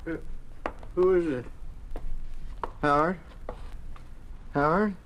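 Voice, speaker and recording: Slow, faltering dying farmer. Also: male voice, a little high, a bit bright, slight background noise, low-quality recording. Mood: confused, faint. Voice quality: weak, faltering. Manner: halting, confused, pleading. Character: dying injured farmer, weak and pleading. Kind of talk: 1950s radio drama acting.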